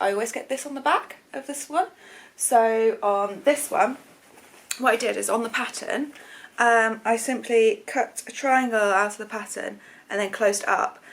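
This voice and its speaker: A British woman with a slightly croaky voice, hosting a chatty sewing vlog in an informal, rambling way, often correcting herself. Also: medium pitch, fairly bright tone. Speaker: sewing vlogger, channel host. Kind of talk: casual chatty vlog to camera.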